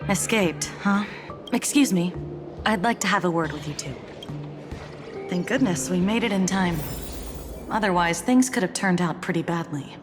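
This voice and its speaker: A woman's voice: fairly low pitch, moderate pace, long pauses, music in the background, close to an everyday voice. A woman playing a composed, genial government officer in a video game, speaking politely and explaining things. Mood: polite, relieved. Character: composed, genial female officer of an anomaly department.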